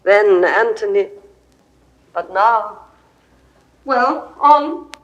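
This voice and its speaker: An actress playing a loving, playful queen with a slow, teasing, affectionate manner in a 1960s radio play. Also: medium pitch, animated, lo-fi sound. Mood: wistful.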